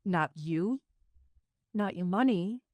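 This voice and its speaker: A woman's voice: on the low side, animated, slow, a little mellow, no echo. A woman playing a cool, defiant, sardonic wealthy woman facing her ex in an audio drama, with a taunting manner.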